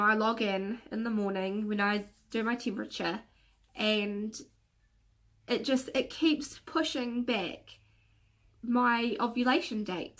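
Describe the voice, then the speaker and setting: A woman's voice: mid-range voice, a bit bright. A woman vlogger talking in a casual, rambling way with many fillers and restarts, her nose a little stuffed from a cold so she sounds slightly nasal. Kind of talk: casual chatty vlog rant.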